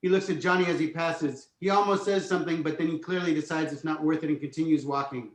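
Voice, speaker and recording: Male narrator for script read-through, slightly high voice, echoey room. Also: fairly even intonation, quick pace. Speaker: stage directions narrator.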